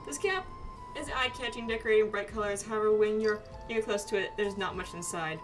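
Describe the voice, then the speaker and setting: A woman's voice: medium pitch, fairly quick. A woman doing a casual playthrough of a video game, reading the on-screen text aloud. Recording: distant mic, music behind. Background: game music.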